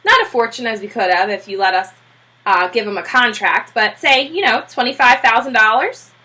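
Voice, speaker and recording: A woman voicing a brisk, eager male film businessman from a storybook, talking like an emphatic, informal salesman. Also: fairly high pitch, bright, forceful tone. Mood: eager, persuasive.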